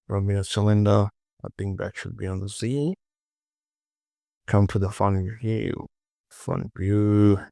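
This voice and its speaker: Slow, gravelly-voiced man giving a tutorial. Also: fairly low pitch, darkish tone, no room sound, studio quality. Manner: informal, muttered short instructions to self and viewer. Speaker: tutorial instructor. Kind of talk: casual screen-recorded software tutorial, talking while working.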